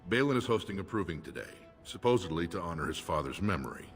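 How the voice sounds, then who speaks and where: Game role: dutiful dwarf lord's guard, low formal voice, cavernous reverb over music. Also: male voice, big pitch swings, fast. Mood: stern. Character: stern male dwarf retainer guarding his lord. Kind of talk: video game dialogue acting. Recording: long reverb, music underneath.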